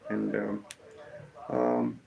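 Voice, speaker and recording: A young Kenyan man, a musician whose first language is Kikuyu, telling the story of his life in a halting way, with many fillers and restarts. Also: lowish voice, lively intonation, gravelly, dull audio, a crowd in the background. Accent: Kenyan English.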